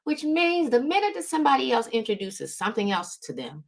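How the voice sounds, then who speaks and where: A woman's voice: on the high side, big pitch swings, fairly quick. A woman giving a motivational business coaching talk, speaking emphatically and often checking in with her listeners. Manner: emphatic, checks in with "right?" and "okay?". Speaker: business strategy coach and host.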